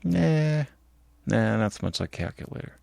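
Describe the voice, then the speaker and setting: A man's voice: medium pitch, very animated, normal speed, vocal fry, a little mellow, no room sound. A man co-hosting a podcast in casual chat, rambling and reading headlines aloud. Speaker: podcast co-host.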